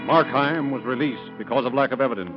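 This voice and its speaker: A man's voice: medium pitch, quick pace. A man narrating a drama from a script in 1930s radio style. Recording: dull lo-fi vintage radio recording. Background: loud music.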